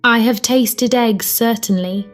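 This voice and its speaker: A woman's voice: on the high side, slow, soft background music. Storybook little girl, polite and earnest, read by a woman. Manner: indignant, truthful.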